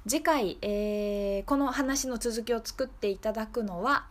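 A woman hosting a radio show, talking casually and reflectively in a gentle, polite, thoughtful way. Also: a little high, measured pace. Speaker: radio show host and singer-songwriter.

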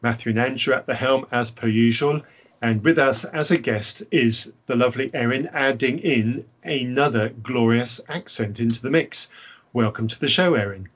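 A man hosting a podcast, politely putting measured questions to a guest in a conversational way. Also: mid-range voice, highs cut off. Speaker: podcast host. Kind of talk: hosting and interviewing.

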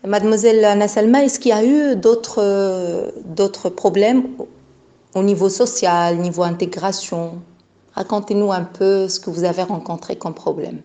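A woman's voice: mid-range voice, normal speed, dark tone. A woman hosting a radio program, asking polite, formal questions and addressing her listeners directly. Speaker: radio show host.

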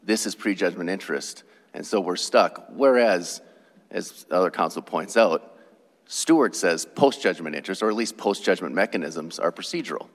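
A man questioning counsel from the bench as a judge, probing and thinking aloud in halting phrases. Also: deep voice, lively intonation. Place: courtroom. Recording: long courtroom reverb.

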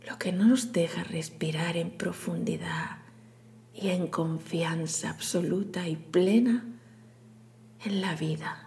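A woman leading a guided meditation in a soothing, hushed, breathy delivery with long pauses. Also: fairly low pitch, fairly even intonation. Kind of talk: guided meditation, scripted narration.

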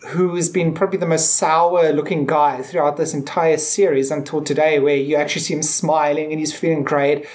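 A woman's voice: deep voice, a little mellow. A woman co-hosting a podcast, talking casually and feeling her way through her thoughts in hesitant, meandering phrases. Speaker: podcast co-host. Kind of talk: casual discussion of a TV episode.

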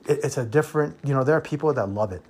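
A man's voice: medium pitch, very fast, darkish tone, husky. An American man hosting an informal podcast monologue, giving opinionated advice in a blunt way and repeating points for emphasis. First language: English. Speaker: expat podcast host.

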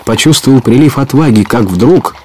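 A man narrating an audio play as a scripted adventure story, in an expressive storytelling manner. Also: mid-range voice, normal speed, a little hiss or hum.

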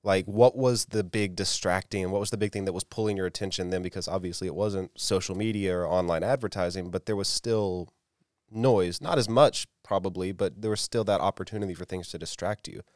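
A man's voice: low voice, fairly quick, no room sound, studio quality. A man in his twenties co-hosting a podcast, earnest and rambling, with frequent restarts. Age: 20s. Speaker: podcast co-host. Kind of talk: casual podcast conversation.

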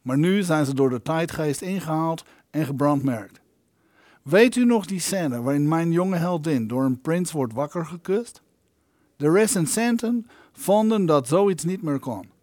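Man playing an aggrieved, high-voiced ghost. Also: lively intonation, a little slow, darkish tone, dry recording, clean studio sound. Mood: indignant. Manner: wordy, aggrieved, theatrical. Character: indignant ghost of an elderly American film maker. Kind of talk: audio drama acting.

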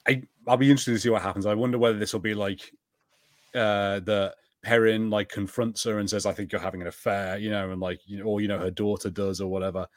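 Lower-voiced man thinking aloud, dry sound. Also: steady tone, fast talker, dry recording. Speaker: podcast co-host. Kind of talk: casual TV review chat.

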